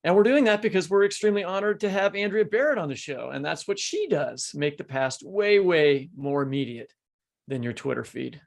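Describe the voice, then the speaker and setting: A man's voice: fairly high pitch, lively intonation, fairly quick, creaky. A middle-aged man hosting a podcast in an informal, joking, conversational way, with plenty of words. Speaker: podcast host.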